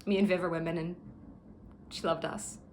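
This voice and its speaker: A woman performing a dramatic monologue as a grieving young friend giving a halting, tender eulogy. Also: on the low side, level tone, a little hiss or hum, natural voice. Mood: subdued grief. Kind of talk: dramatic monologue, funeral speech.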